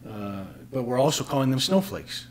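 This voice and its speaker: A man's voice: mid-range voice, sing-song, normal speed, a little mellow. A middle-aged man with an American accent, talking conversationally as an interview co-host. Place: small plain room at a table, indoors. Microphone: desk microphone on stand.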